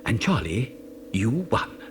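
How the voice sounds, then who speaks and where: Wildly swooping eccentric old factory owner. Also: male voice, mid-range voice, very animated, slow, slight background noise. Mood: earnest. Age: older. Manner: theatrical, stammering, chuckling. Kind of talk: audio dramatisation for children.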